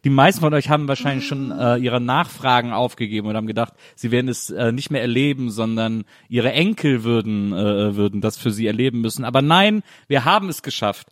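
A man's voice: medium pitch, a little fast, few pauses, no echo. Enthusiastic man hosting, dry close sound. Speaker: host. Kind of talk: casual announcement to listeners.

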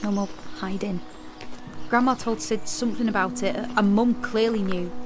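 A woman's voice: mid-range voice, steady tone, moderate pace, over music, close to an everyday voice. An actress playing a resentful, rebellious teenage girl in an audio drama, with a sullen, challenging manner. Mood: defiant.

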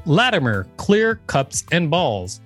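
A man's voice: on the high side, big pitch swings, slow pace, a bit bright, soft background music. A man, co-hosting a podcast, reading an article aloud and chatting casually about it. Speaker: podcast co-host.

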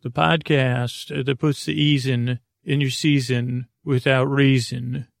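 Male sleep-podcast host, gravelly and monotone, hesitant meandering delivery, dry close mic. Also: medium pitch, dry recording. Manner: meandering, hesitant, deliberately soothing. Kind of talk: rambling bedtime monologue.

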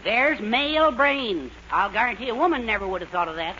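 Fussy henpecked american husband, old radio comedy, high voice, lots of hiss. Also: male voice, expressive, fairly quick. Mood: blustering confidence. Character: fussy, henpecked husband putting on brave bluster. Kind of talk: 1940s radio sitcom acting. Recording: muffled, very noisy 1940s radio recording.

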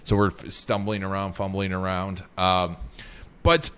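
Man, interview host, low voice, chatty questions. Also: level tone, unhurried, a bit bright, clear, resonant tone. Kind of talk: conversational interview.

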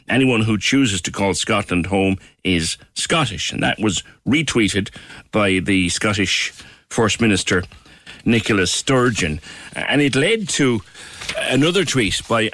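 A man hosting a radio show, talking in a relaxed, conversational way and laughing easily. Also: on the low side, no echo. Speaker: radio host. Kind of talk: casual radio chat.

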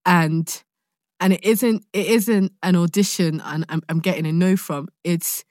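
A woman with a London accent in casual conversation, telling a personal story in a candid, expressive way. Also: fairly low pitch, a little slow, dry recording, clean studio sound. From born in Nigeria, raised in London. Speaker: guest, actor.

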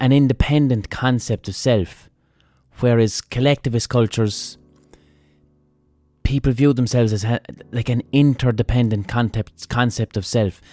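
A man's voice: mid-range voice, dark tone, no room sound. Blunt, swearing Irish man. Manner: blunt and profane, thinking aloud. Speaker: podcast host. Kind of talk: casual opinion monologue.

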